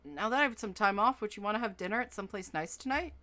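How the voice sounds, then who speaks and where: A woman narrating as a soft-spoken, anxious young boyfriend in a first-person story. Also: mid-range voice, lively intonation, fast. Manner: quiet, fretful, self-doubting.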